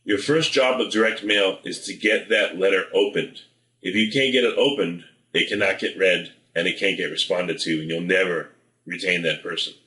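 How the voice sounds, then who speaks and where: Low-voiced man, bright delivery, roomy echo. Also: moderate pace. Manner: direct, persuasive, plain-spoken. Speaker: marketing presenter. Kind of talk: informal sales advice to camera.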